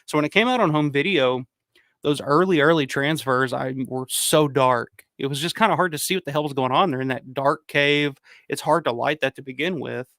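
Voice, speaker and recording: Livestream host, man, hoarse and gravelly, dry close mic, loose profane rambling. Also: a little high, brisk, fairly bright tone, no echo. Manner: rambling, profane, frequent restarts; reads out viewer comments. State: hoarse from a cold. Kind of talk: casual livestream chat.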